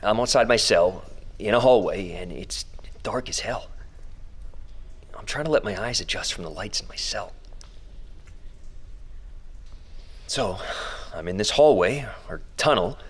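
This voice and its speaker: Young male captive, lowish voice, halting, anxious yet bold, found-recording audio drama, thinking aloud. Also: lively intonation, slightly rough. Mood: hushed, uneasy. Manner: halting, muttering, narrating aloud to himself.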